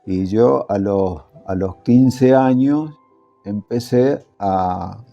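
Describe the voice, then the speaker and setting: Older man, from Italy, hesitant, many fillers, gravelly, mellow tone. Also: on the low side, drawn-out words, muffled recording, soft background music. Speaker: interviewee, former factory worker. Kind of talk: casual interview.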